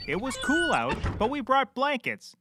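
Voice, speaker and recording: A man's voice: very high voice, wildly swooping pitch, moderate pace, over loud music, full character voice. A man playing a cheerfully clueless, rambling private eye who cracks corny jokes in a scripted comedy. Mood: chipper, jokey. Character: bumbling, chirpy, oblivious male puppet-world private eye.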